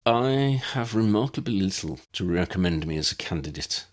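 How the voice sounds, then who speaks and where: A man voicing a formal, self-doubting uncle with a measured, wordy way of talking in a fantasy audiobook. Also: sits low, expressive. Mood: wry, grave. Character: formal, wry, self-doubting adult uncle without magic.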